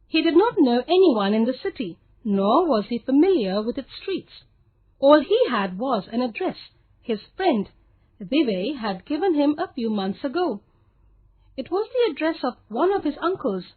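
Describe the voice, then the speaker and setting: High, husky woman storyteller, phone-like sound. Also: sing-song, moderate pace. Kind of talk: scripted children's story narration.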